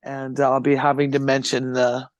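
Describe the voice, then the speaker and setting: A man's voice: medium pitch, normal speed, no room sound, highs cut off. A man with an Albertan Canadian accent, co-hosting a podcast in crude, casual chat, swearing freely and laughing often. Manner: profane, laughs often, animated storytelling. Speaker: podcast co-host.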